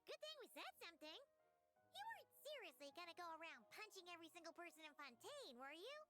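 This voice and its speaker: A woman voicing a tiny, bubbly, excitable childlike fairy companion in a video game, with a squeaky voice. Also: fast, over music. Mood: excited, chiding. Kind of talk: English video game voice acting.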